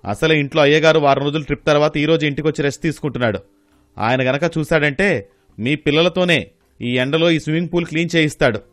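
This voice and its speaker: A stern, scolding security guard from a moral tale , acted by a male narrator. His voice is fairly high and dry, and he speaks in threats. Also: quick pace, a bit bright, no room sound, natural voice. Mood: contemptuous warning.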